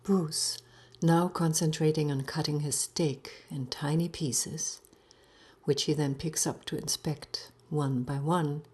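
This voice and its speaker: A woman narrating a story in the first person, deliberate and theatrical, sometimes dropping to a hush. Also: low voice, breathy edge. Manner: deliberate, theatrical, breaking into hushed phrases.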